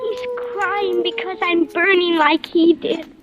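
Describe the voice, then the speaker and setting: A woman's voice: extremely high pitch, slow. A woman playing a pleading, dreamy little girl with a childlike, plaintive delivery in an old-time radio drama. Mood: feverish, plaintive. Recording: dull old radio recording, music behind.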